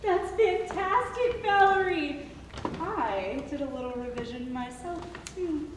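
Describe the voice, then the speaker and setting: A woman's voice: high voice, slow. A woman playing a gracious, effusive community liaison in a staged reading. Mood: delighted. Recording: reverberant hall from far off, clear noise.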